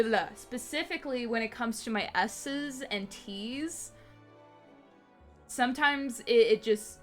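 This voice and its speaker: A woman's voice: medium pitch, a bit bright. A young woman streamer with a slight lisp on her s and t sounds, rambling casually with many fillers and restarts. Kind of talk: casual live-stream chat.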